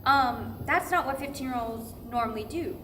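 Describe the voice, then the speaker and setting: Female pastor with wry self-deprecation, played by an actress, high-pitched, conversational stage storytelling, faint noise in the room. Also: normal speed, pushed and bright. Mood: amused. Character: female pastor fondly mocking her teenage first sermon. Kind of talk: verbatim theatre monologue, conversational. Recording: faintly noisy live staged reading.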